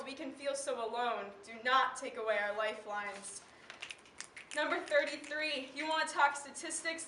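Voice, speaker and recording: A teenage American girl performs slam poetry with emphatic, declamatory conviction. Her voice is high and bright. She is recorded at a distance from the mic in a live room, pausing deliberately for weight. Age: teen. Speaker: slam poet. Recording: roomy, distant mic, faint background noise.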